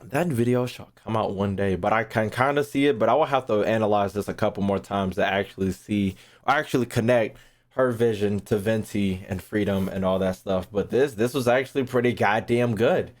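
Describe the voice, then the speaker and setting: A man's voice: on the low side, fairly quick. A young man giving casual live-stream commentary on a game, brash, slangy and profane, often repeating himself.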